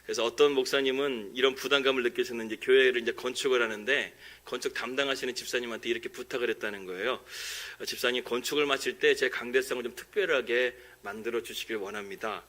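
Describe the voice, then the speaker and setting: A man's voice: mid-range voice, steady tone, quick pace, hardly any pauses, fairly bright tone. A man, a pastor delivering a sermon in an earnest, conversational manner. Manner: earnest, conversational, chuckles at his own jokes. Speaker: pastor preaching a Sunday sermon. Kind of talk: sermon with anecdotes, jokes and prayer. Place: church service, preaching from the pulpit.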